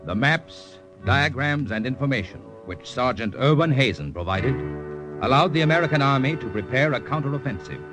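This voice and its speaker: A man's voice: mid-range voice, animated, normal speed. Solemn man announcing a radio drama. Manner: solemn, formal. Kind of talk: scripted narration. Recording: old radio broadcast with music.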